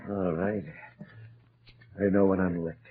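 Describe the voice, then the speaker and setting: An actor playing a cornered, desperate murderer with halting speech in an old radio drama. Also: male voice, low-pitched, flat delivery. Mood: rattled. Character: cornered, desperate murderer, rattled then resigned. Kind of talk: 1940s radio acting. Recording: tinny, noisy old radio recording.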